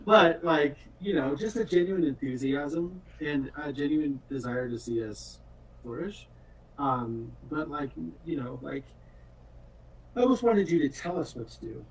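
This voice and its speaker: A man's voice: fairly high pitch, lively intonation, measured pace, recorded from a distance, poor audio. A man in a casual developer chat, speaking earnestly and informally in an appreciative manner. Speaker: game developer.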